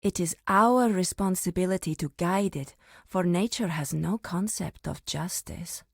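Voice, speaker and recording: Solemn female grove warden, fantasy game, slow delivery, commanding, formal, dry close recording. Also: fairly low pitch, creaky, husky, dry recording, exaggerated voice. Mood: measured, instructive. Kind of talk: scripted fantasy game dialogue.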